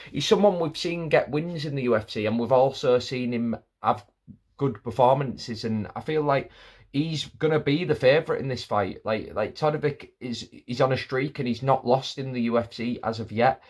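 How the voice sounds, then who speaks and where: Guy, mid-pitched, casual rambling fight-pick talk full of fillers. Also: moderate pace. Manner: rambling, many restarts and fillers like "like". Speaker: MMA fight predictor.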